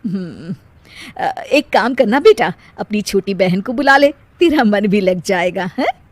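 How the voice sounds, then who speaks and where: A woman's voice: mid-range voice, expressive, a little slow, no echo. A woman voicing an older, matronly mother-in-law in a cartoon moral story, motherly but sly and emphatic.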